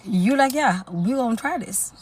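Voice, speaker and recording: A woman's voice: a little high, animated, moderate pace, slight background noise. A young woman from South Africa with a South African accent, chatting sassily on a live stream and calling her viewers honey and girl. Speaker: dating lifestyle live streamer. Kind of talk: casual live stream chat.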